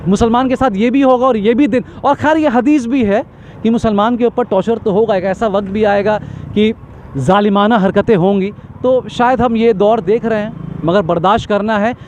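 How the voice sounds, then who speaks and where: A man's voice: sits high, normal speed, a little mellow, slight background noise. A man giving an impassioned street interview, talking emphatically in long repetitive lists and stammering when agitated.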